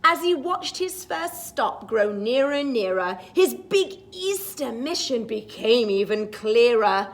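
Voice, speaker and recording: A woman storytime teacher reading a picture book aloud to young children, expressive and sing-song, with chatty asides. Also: fairly high pitch, measured pace.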